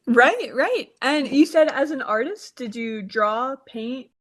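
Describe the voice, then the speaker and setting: A woman hosts an interview podcast and keeps her reactions short and friendly. Her voice sits high and bright. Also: animated, takes it slowly. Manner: upbeat, friendly, brief reactions. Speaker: podcast host. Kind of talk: hosting an interview.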